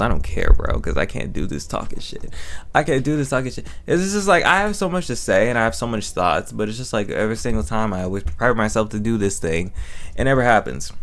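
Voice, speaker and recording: Deep, gravelly-voiced man recapping casually. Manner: emotive, slangy, repeats phrases for emphasis. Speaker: host reviewing an audio drama. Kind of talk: casual, rambling recap and reaction.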